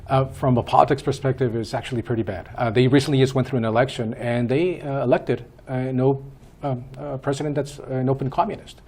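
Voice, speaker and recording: A man in his fifties from Peru, answering interview questions as a guest in a measured way with many hesitations. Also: mid-range voice, steady tone, darkish tone. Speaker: guest, school board candidate.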